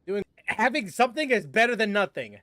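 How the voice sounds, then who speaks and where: High, animated male game streamer. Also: a little fast, dry recording, studio quality. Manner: rambling, laughs often, restarts sentences. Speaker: gaming streamer. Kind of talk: casual live-stream commentary.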